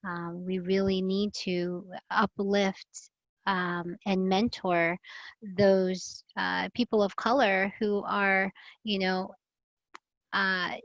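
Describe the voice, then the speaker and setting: A woman's voice: mid-range voice, no room sound. A woman from the Philippines, a health and safety expert on a live online panel, speaking earnestly and reflectively with many fillers. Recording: dull lo-fi video call audio.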